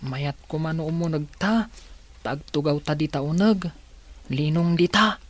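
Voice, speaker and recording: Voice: mid-range voice, measured pace, lightly acted. A performer playing a caring, protective older village child in a radio drama, earnest and kindly. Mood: kind, concerned. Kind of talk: Ilocano radio drama acting.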